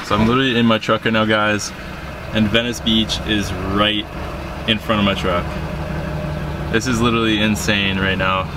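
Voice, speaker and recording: A young man in his twenties vlogging casually to a handheld camera outdoors, excited and swearing often. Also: lowish voice, normal speed, pauses often, over loud music. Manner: excited, swears a lot, talks straight to camera. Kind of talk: casual travel vlog. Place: outdoors at a beach and in a truck. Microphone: handheld camera at arm's length.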